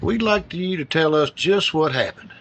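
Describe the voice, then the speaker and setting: A man playing a steady detective partner. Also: on the high side, normal speed, noisy background, natural voice. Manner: plain, direct questions. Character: male police detective partner, steady and helpful. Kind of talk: 1940s radio drama acting.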